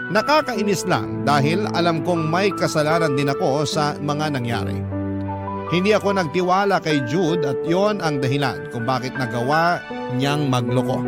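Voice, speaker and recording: A man reads a scripted first-person letter as a radio drama narrator. His voice sits a little high for a man, and loud instrumental music plays under it throughout.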